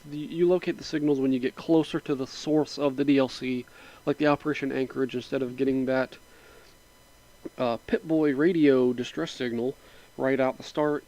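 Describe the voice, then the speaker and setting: A man of middle pitch talks through a setup on his computer in a loose, unhurried way. His voice is a bit raspy from a sinus cold. He drifts between steps with small fillers and asides. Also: poor audio. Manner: relaxed, rambling, with frequent fillers. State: slightly raspy from a sinus infection. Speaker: mod tutorial narrator. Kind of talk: casual screen-recording walkthrough.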